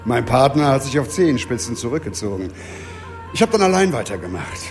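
A man's voice: medium pitch, sing-song, fairly quick, soft background music. Embittered, dogged male investigator. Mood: bitter, confiding. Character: embittered, dogged investigator, persecuted but unyielding. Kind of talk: radio crime drama dialogue.

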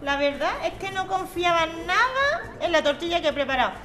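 A woman's voice: very high voice, sing-song, brisk, pushed and bright, exaggerated voice. A woman playing a modest, playful daughter who cooks, in an audio drama. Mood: bashful, playful.

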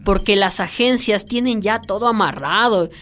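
Una mujer que interpreta en un radioteatro a una persona organizadora de radio comunitaria, franca, persuasiva e irónica, de habla coloquial. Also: female voice, mid-range voice, normal speed, a bit bright, lightly acted. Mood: persuasive, wry. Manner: colloquial, persuasive, wry. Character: pragmatic, outspoken community radio organizer. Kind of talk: radio drama acting.

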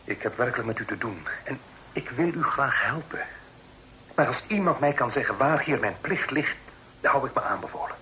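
An actor playing an earnest, cautious young gentleman in a period radio drama, speaking in a formal, measured way. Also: mid-range voice, animated. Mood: earnest, sympathetic. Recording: noisy old radio drama recording.

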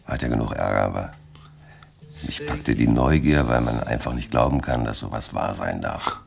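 A middle-aged man with an extremely deep, heavily gravelly voice over clear background music. He is a weary, dogged writer, brooding and wry as he tells his story in a radio drama. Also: moderate pace, full character voice. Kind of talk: German radio crime drama acting.

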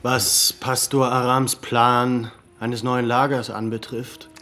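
A resolute commander. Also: male voice, mid-range voice, flat delivery, sharp tone. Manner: firm, deliberate, commanding. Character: resolute male military leader planning a raid. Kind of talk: German radio play acting.

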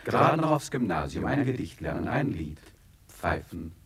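A man's voice: low voice, big pitch swings, moderate pace, slightly rough, full character voice. A man playing a domineering, pompous career adviser who lectures the young in a satirical radio play, declaiming with insistent repetition. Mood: preaching, self-important.

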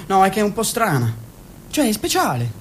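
A man's voice: sits high, wildly swooping pitch, slight background noise. An actor playing a naive, stubborn young male factory worker who talks bluntly and stumbles over his words. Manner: blunt and stammering, rushes words. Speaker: radio drama actor. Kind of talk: radio play acting.

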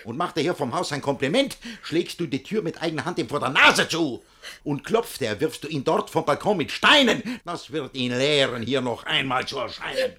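A pompous, domineering husky older guardian. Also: male voice, a little high, sing-song, moderate pace, pushed and bright, full character voice. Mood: angry, commanding. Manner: pompous, preachy, theatrical verse delivery. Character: domineering, self-satisfied older guardian lecturing his young ward. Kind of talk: German radio play acting in rhymed verse.

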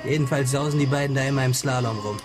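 A man's voice: mid-range voice, fast, over music, natural voice. An actor in a radio crime drama playing an eager, plotting boatman who talks in a keen, colloquial way. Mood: keen, scheming.

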